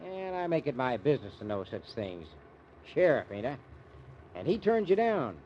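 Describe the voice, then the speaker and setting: An actor with an American accent playing a folksy, scheming small-town sheriff in an old-time radio drama, with a rustic drawl. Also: male voice, medium pitch, sing-song, normal speed, lightly acted. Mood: sly, insinuating. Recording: muffled, noisy old radio recording.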